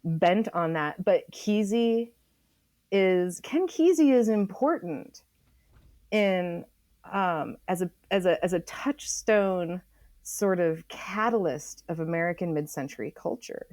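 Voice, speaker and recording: Lower-pitched woman, halting and thoughtful. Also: expressive, darkish tone, no echo, studio quality. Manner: halting, repeats words as she forms thoughts. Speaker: guest author. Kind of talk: thoughtful podcast interview conversation.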